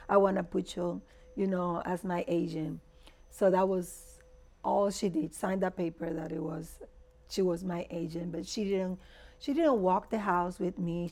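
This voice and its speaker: A woman's voice: medium pitch, a little mellow. A middle-aged woman from the Dominican Republic with a Dominican Spanish accent, telling stories conversationally as an interview guest and acting out remembered dialogue. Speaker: guest, real estate agent.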